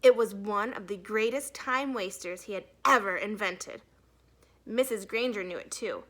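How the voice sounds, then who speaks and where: A woman's voice: medium pitch, moderate pace, bright, forceful tone. A woman narrating a children's storybook expressively, like a teacher reading aloud to a class.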